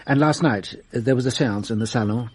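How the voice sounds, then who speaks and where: A man's voice: medium pitch, fairly quick, a little mellow, slightly rough, dry recording, lightly acted. A man playing a shrewd detective in a radio drama, questioning in a probing, dryly sardonic way. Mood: wry. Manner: probing, wry.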